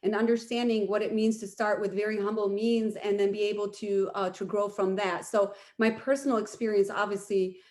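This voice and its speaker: Middle-aged woman, medium pitch. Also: little pitch movement, brisk, few pauses, darkish tone. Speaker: mayoral candidate, attorney. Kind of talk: candidate forum answer.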